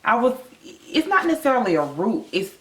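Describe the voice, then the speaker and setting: A woman's voice: fairly high pitch, very animated, a little fast. An American woman in her thirties chatting casually as a vlog co-host, thinking aloud in a colloquial, slightly hesitant way. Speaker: vlog co-host, movie reviewer. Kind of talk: casual conversational review. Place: small indoor room with bare wall. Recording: echoey untreated room.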